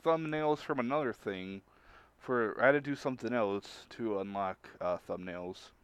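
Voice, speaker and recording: A man chatting casually on a group livestream, halting and repeating his words as he goes. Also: on the low side, clear, resonant tone, no room sound. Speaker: stream co-host.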